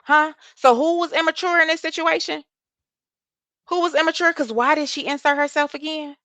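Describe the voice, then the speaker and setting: Soft-voiced woman with hosting a live chat, bright sharp tone, kinda high, dry close mic. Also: moderate pace, no echo. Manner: animated, talks back to chat, curses freely. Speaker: livestream host. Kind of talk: casual live chat with viewers.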